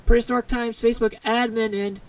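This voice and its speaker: A man's voice: extremely high pitch, flat delivery, fast talker, far from the mic, rough recording. A man hosting a podcast, introducing his panel and guest with eager, filler-laden remarks. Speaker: podcast host.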